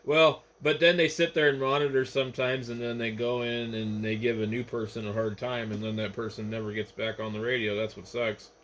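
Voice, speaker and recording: A man's voice: mid-range voice, flat delivery, a little fast, few pauses. An American man, an amateur radio operator, chatting casually over the radio. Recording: noisy ham radio transmission, static behind.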